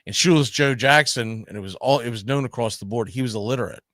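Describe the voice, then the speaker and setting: A man's voice: mid-range voice, fast talker, a bit bright, dry recording. A man hosting a sports talk show, telling baseball history with an emphatic, storytelling delivery.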